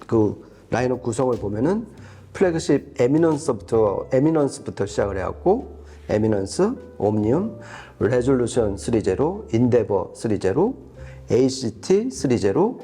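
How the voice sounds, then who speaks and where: A man's voice: medium pitch, very animated, normal speed, warm tone, slightly rough, faint music behind. A man giving an explanatory product review as a co-host, going step by step and rephrasing as he goes.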